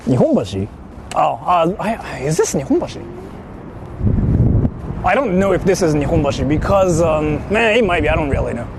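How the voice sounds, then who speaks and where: A man's voice: fairly high pitch, big pitch swings, moderate pace, long pauses. A man chatting casually and slangily to viewers as he livestreams from his car. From Japan. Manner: colloquial, slangy, explaining things to viewers. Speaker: livestream host. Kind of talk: casual livestream chat while driving. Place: inside a moving car in a city. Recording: clear vehicle and street noise. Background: car and urban traffic noise.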